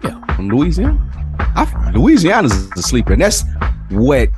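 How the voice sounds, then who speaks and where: A man's voice: mid-range voice, a little slow, over music. A man from Tennessee hosting a podcast, chatting casually and acting out the back-and-forth of stories he tells. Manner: animated storytelling, acts out quoted exchanges. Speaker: podcast host.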